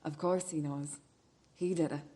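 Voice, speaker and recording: A woman's voice: sits low, fairly even intonation, normal speed, dark tone, natural voice. An actress playing a stern, insistent female questioner in a radio play, terse and pressing, repeating her demands.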